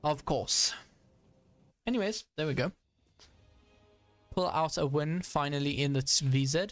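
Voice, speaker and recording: Young man, gaming streamer, slightly high voice, casual play-by-play, filler-heavy. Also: unhurried, fairly bright tone, no room sound, soft background music. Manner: casual, frustrated asides, frequent fillers and restarts. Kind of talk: live gameplay commentary.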